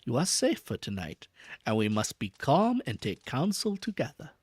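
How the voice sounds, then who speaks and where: A man reading aloud and voicing a calm elderly professor with a put-on foreign accent, low and slow. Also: husky, no room sound, exaggerated voice. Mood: soothing. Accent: Dutch-style put-on accent. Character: calm elderly male professor, grave and steady.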